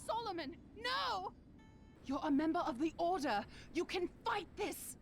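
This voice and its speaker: A woman's voice: very high-pitched, lively intonation, unhurried, vocal fry, full character voice. A woman playing a determined, earnest young heroine, a loyal monster hunter in a fantasy game, with music behind her. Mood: desperate, pleading. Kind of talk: fantasy game character acting.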